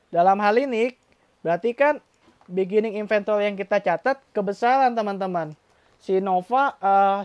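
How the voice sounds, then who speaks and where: A woman's voice: medium pitch, darkish tone, no room sound. A woman with an Indonesian accent giving an informal step-by-step accounting tutorial, addressing learners directly and often asking questions that she then answers herself. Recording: dull, lo-fi close dry recording.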